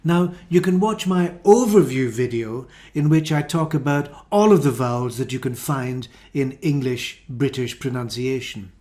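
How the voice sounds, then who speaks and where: A man with a Scottish accent teaching an English pronunciation lesson, saying each model word slowly and carefully. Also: mid-range voice, mellow. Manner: slow, careful, drawn-out model words.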